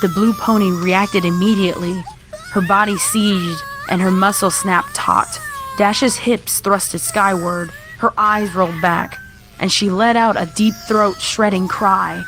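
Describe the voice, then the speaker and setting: A woman's voice: mid-range voice, rough recording. A woman reading a scripted horror story as its narrator.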